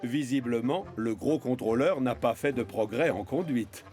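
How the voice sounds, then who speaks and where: A man's voice: mid-range voice, moderate pace, darkish tone. Male children's story narrator over music. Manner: storytelling, clearly phrased.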